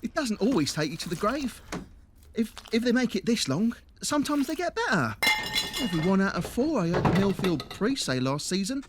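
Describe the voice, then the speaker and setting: An actor playing an uneasy, deferential looter's underling who talks hesitantly in a horror audio drama. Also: male voice, high-pitched, big pitch swings, brisk, noisy background. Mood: anxious, placating.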